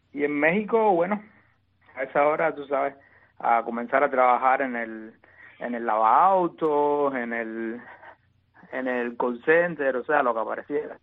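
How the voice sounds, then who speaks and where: Cuban man, exiled poet guest, halting delivery full of restarts, tinny phone-line sound. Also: medium pitch. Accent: Cuban Spanish. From Cuba. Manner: halting, many restarts and repeated words. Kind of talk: interview answers.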